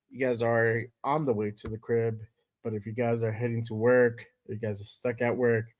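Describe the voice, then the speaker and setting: Hoarse, hyped man hosting a sports stream. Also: mid-range voice. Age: adult with kids. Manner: hyped, slangy, shout-outs to viewers. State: hoarse from a cold. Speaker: host. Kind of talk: casual live-stream sports chat. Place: at home.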